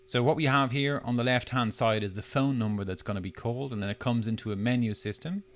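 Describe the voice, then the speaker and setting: A man presenting a software demo, talking through it step by step in a loosely unscripted, explanatory way. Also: mid-range voice, quick pace. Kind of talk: step-by-step screencast tutorial narration.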